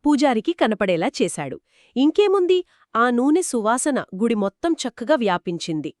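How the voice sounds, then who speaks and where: A woman's voice: fairly high pitch, big pitch swings, normal speed, darkish tone, no room sound, clean studio sound. A woman telling a moral story conversationally to a co-host, explaining each step expressively and affirming as she goes.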